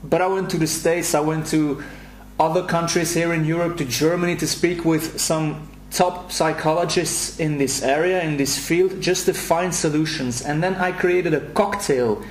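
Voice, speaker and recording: A man's voice: a little high, fairly even intonation, normal speed, reverberant, slight background noise. A man in his late twenties from Belgium with a Belgian accent, earnestly recording a video message for his website and often repeating words as he starts a sentence. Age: late 20s. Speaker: website host.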